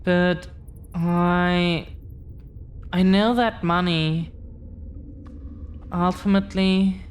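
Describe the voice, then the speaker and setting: A woman playing a tender, devoted young dragon-descended girlfriend in intimate roleplay audio, speaking haltingly and earnestly, repeating phrases. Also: fairly low pitch, steady tone, slight background noise. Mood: solemn, confiding.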